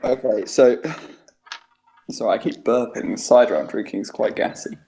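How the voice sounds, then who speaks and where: A man's voice: mid-range voice, moderate pace, creaky, dark tone, slight background noise, poor audio. An eighteen-year-old English man hosting a comedy podcast from home, rambling, swearing casually and laughing often. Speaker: podcast host. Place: home during quarantine.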